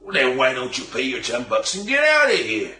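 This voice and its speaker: An actor playing a gruff, laconic New York policeman with an American accent in a radio drama. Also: medium pitch, wildly swooping pitch, a little fast, sharp tone, echoey room, faint music behind. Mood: wry, laconic.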